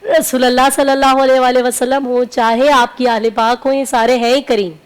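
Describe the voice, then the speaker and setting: A woman's voice: sits high, little pitch movement, fairly quick. A woman scholar giving religious teaching on a talk show, explaining point by point and repeating key phrases. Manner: didactic, explaining in numbered points with repetition. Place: TV broadcast studio.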